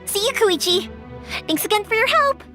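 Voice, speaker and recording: A woman's voice: very high voice, moderate pace, faint music behind, heavily acted. A woman voicing a cheerful, chatty young fireworks maker in a video game. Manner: lively, chatty and warm-hearted storyteller.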